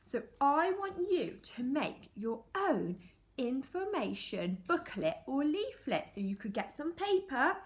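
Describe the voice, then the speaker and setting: A woman's voice: high voice, sing-song, slow. A woman teacher reading a picture book to young children, telling the story expressively and putting questions directly to her listeners. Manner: questioning, addressing children directly, clearly paced. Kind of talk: animated children's storytelling and lesson. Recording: echoey room.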